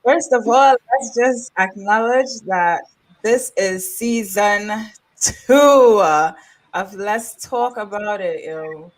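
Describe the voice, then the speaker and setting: A chatty woman hosts a podcast, upbeat and quick to laugh. She grew up in Trinidad. Her pitch sits in the middle of the range for women. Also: low-quality recording. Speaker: podcast host. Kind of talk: casual podcast chat.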